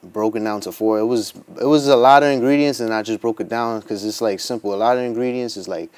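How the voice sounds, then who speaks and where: A man with a fairly low voice speaks as a guest in a relaxed interview. His talk is plain and informal, peppered with like and you know as he explains things. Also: quick pace, clean tone. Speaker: interview guest, cheesecake baker and business owner. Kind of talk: casual interview answers.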